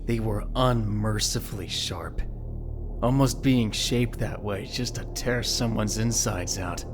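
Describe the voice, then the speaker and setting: A man's voice: on the low side, slow. Man narrating a drama over music. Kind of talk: scripted dramatic story narration.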